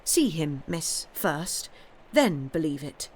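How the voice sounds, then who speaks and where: A woman's voice: low voice, very animated, slow pace, a little mellow, full character voice. A woman voicing a simple, loyal servant woman in a storybook reading, plain-spoken, deferential and full of feeling. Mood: earnest, assuring. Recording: clearly noisy background.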